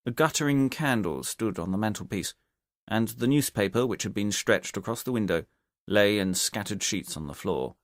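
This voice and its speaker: Male narrator for mystery audiobook: lowish pitch, very varied intonation, expressive scripted read. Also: no room sound, clean studio sound.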